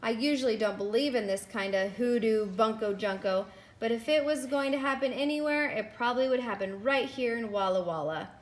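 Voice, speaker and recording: A woman voicing a brisk, bossy female school nurse in a storybook, emphatic and insistent. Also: on the high side, natural voice. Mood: decisive.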